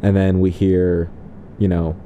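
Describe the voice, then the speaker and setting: Mellow-voiced man chatting about a movie. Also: deep voice, level tone, measured pace, muffled recording, a little hiss or hum. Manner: jokey, acts out characters in mock voices. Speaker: podcast co-host. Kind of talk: casual film discussion.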